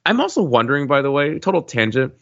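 A man chatting casually as a podcast co-host, halting and hedging, often wandering into asides. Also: medium pitch, very animated, creaky, a bit bright. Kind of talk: casual chat. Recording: slightly dull, lo-fi audio.

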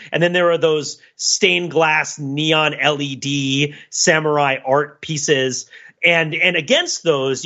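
A man's voice: fairly high pitch, sharp tone, muffled recording. A man co-hosting a podcast, talking through a film in a casual, analytical, rambling intellectual way. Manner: rambling, digressive, intellectual musing. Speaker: podcast co-host.

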